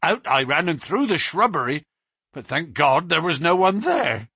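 A man voicing a terrified male police constable who speaks breathlessly in a high, rising voice. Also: big pitch swings, unhurried, fairly bright tone, slightly rough, no room sound, studio quality, exaggerated voice. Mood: frightened.

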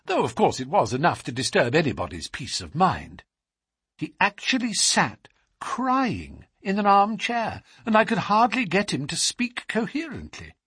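A man's voice: a little high, a bit bright, slightly rough, dry recording, studio quality, heavily acted. A man voicing an earnest, easily indignant doctor in an audiobook, speaking in a formal, precise, agitated way. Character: earnest, indignant male doctor recounting a puzzling case. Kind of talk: audiobook character voice.

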